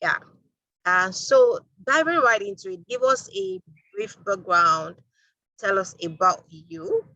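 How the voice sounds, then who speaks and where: A woman hosting a live online interview, upbeat and lively, with fillers. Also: medium pitch, takes it slowly, no room sound, lo-fi sound. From Nigeria. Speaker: host.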